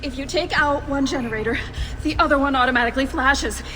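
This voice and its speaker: An actress playing a frightened, earnest captive scientist in a video game. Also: female voice, sits high, quick pace, sharp tone, a little hiss or hum. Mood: shaken, explaining. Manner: anxious, earnest. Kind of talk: video game cutscene acting.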